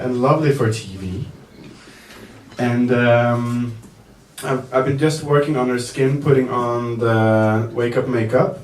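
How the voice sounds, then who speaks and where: Guy makeup artist demoing for press, slow n hesitant, echoey room, bit of hiss. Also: mid-range voice. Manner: slow, hesitant, joking, many fillers. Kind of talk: live makeup demonstration for press. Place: indoor press event room. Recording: echoey room, mic far off, faint noise.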